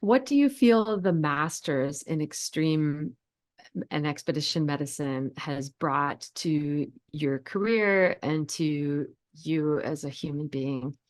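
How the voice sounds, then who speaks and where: A woman hosts the interview and asks the questions. Her voice is very low for a woman. She praises her guest effusively and often restarts her sentences. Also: slow. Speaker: podcast host.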